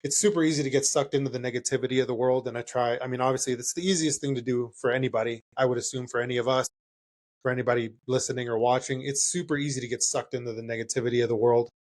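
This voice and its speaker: A man's voice: mid-range voice, steady tone, racing, darkish tone. A man from California whose first language is Spanish, a band member answering interview questions in a loose, rambling way with frequent laughs and restarts. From California, USA. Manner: rambling, self-correcting, laughs often.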